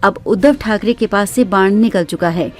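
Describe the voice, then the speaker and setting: Female news narrator, mid-pitched, formal scripted delivery, music bed underneath. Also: normal speed. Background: background music.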